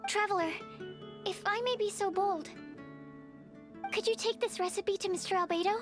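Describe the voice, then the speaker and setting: Shy bookish alchemist girl, very high soft-mannered voice, polite and hesitant, faint game music. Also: steady tone, normal speed. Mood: earnest. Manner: hesitant, politely formal, careful. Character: timid, bookish young female alchemist, earnest and polite. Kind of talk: anime video game dialogue.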